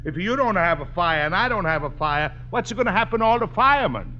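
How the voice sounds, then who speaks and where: An actor playing a boisterous, scheming Italian immigrant, loud and bossy in broken English with an Italian accent, in a 1950s radio sitcom. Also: male voice, high voice, lively intonation, fairly quick. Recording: muffled, noisy old radio recording.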